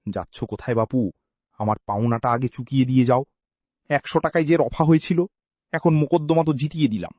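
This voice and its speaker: A man's voice: medium pitch, a little fast, darkish tone, dry recording, close to an everyday voice. A man voicing a sly, persuasive, blustering male lawyer in a theatrical, argumentative storybook manner. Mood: coaxing, demanding.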